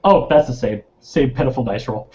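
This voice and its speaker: A man's voice: medium pitch, fairly quick, warm tone. A man chatting casually during a tabletop roleplaying game, hesitant and self-deprecating. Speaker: player in a tabletop roleplaying session. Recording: dull lo-fi voice recording.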